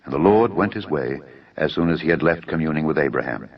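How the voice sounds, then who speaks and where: A man's voice: very deep voice, expressive, fairly quick. A man narrating dramatised scripture in a formal, solemn style. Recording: dull narrow audio over music.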